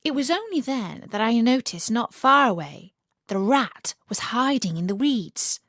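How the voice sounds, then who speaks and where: A woman narrating a scripted children's story as storyteller and host, with an animated, theatrical and deliberate delivery. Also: on the high side, dry recording, studio quality.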